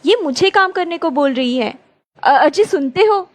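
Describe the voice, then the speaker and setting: A woman's voice: high-pitched, lively intonation, measured pace, a little hiss or hum. A woman voicing a lazy, short-tempered, complaining grown daughter in a cartoon story. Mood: whiny indignation.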